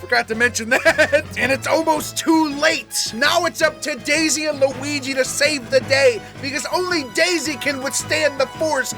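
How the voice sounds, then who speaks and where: High voice, casual comedic reviewer, slangy, exaggerated disbelief, prominent background music. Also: moderate pace, hardly any pauses. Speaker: host, video reviewer. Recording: music behind the voice.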